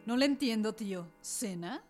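A woman's voice: on the high side, lively intonation, normal speed, darkish tone, faint music behind, close to an everyday voice. A woman acting in a radio drama as a young, cautious widow of a colonial family, polite and evasive in manner. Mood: evasive, nervous.

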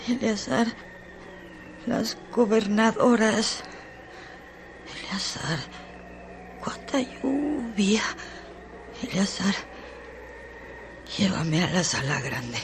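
An actress playing a weary dying woman who pleads in a breathy, whispery voice in a radio drama. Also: mid-range voice, lively intonation, extremely slow, long pauses, background noise. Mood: weak pleading.

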